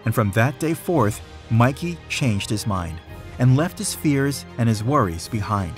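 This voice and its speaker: Expressive man reading a rhyming storybook over faint music. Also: mid-range voice. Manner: measured, rhythmic storytelling with emphasis. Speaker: storybook reader. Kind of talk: expressive read-aloud of a rhyming children's story.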